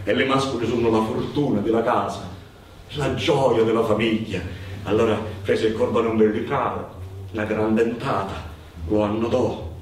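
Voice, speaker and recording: A man performing a theatrical narrative monologue as a storyteller, dramatic and given to insistent, building repetition. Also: lowish voice. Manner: dramatic, building repetitions into drawn-out, chanted cries. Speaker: stage storyteller, actor. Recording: very echoey, distant microphone, noisy ambience.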